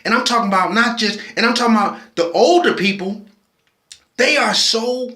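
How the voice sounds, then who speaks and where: A man's voice: extremely high pitch, moderate pace, pushed and bright, reverberant. A man, impassioned and preachy, often restarting his sentences as he speaks his mind in a personal video. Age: 40s. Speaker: vlogger.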